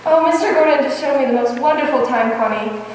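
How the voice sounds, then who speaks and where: A woman's voice: high-pitched, fast talker, darkish tone, natural voice. A woman playing a dotty, chatty older landlady in an amateur radio comedy. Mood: fluttery. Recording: echoey hall from far off, faint noise.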